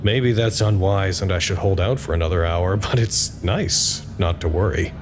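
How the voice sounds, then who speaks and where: An actor playing an anxious, weary researcher who narrates a log in a measured, wry way for an audio drama. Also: male voice, low voice, background noise, natural voice. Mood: calmer, wry.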